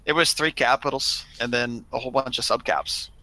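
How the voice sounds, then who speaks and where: Man, sharp bright tone, medium pitch, casual group storytelling, gaming crew member. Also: fairly even intonation, a little fast, rough recording.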